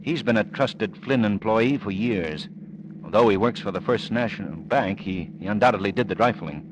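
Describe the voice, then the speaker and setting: An actor playing a suave, self-assured gentleman sleuth in an old radio drama, urbane, measured and decisive. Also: lowish voice, noisy background, lightly acted. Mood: wry, thoughtful. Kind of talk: 1940s radio acting.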